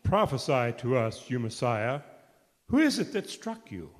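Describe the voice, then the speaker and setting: A man's voice: medium pitch, very animated, a little slow. A man playing a mocking, accusing member of a crowd in a scripture drama, in a reverberant hall.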